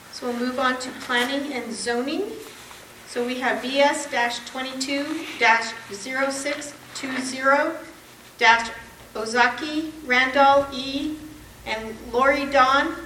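A woman running a town advisory board meeting, briskly reading procedure aloud. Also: high voice, sharp tone. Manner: brisk, reads rules aloud plainly. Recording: echoey meeting room from far off, noisy.

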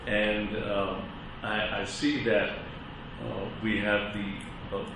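Man, loud voice, hesitant questioning, low pitch, far off in a reverberant hall. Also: little pitch movement, slow pace. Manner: hesitant, many fillers and restarts. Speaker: church representative speaking at a hearing. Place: public meeting chamber. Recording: distant, echoey chamber with noisy background.

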